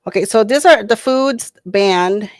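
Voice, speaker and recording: A woman's voice: mid-range voice, a little slow, no echo. A middle-aged woman from the Philippines, reacting to videos in a chatty, hesitant way with many fillers and restarts. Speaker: reaction channel host. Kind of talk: casual reaction commentary.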